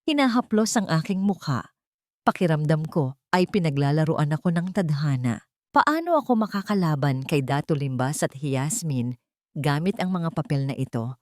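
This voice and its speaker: A woman's voice: deep voice, lively intonation, normal speed, darkish tone, no room sound, studio quality. A woman reading a dramatic first-person story as scripted narration.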